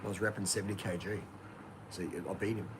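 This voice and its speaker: A man's voice: low-pitched, little pitch movement, fast. Boastful man on a noisy line. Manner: boastful, laid-back storytelling, says "man". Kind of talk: casual chat. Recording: noisy line with clear background hiss.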